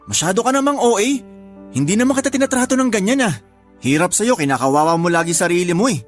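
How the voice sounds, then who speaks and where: An actor plays a lazy young husband who sulks and talks back, defensive and a little whiny. Music plays softly behind the radio drama dialogue. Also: mid-range voice, expressive, fast talker, close to an everyday voice. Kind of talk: Tagalog radio drama acting.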